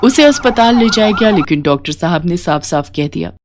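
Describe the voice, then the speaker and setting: Lowish-voiced woman narrating a story. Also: animated, brisk, background noise. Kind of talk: scripted moral-story narration.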